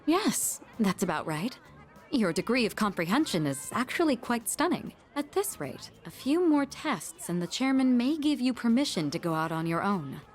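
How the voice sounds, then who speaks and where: Poised, expressive young lady. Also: a little high, normal speed, soft background music. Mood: pleased, composed. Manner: composed, articulate, gently formal. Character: poised, refined young woman, a patient elder-sister guide. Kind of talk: video game voice acting.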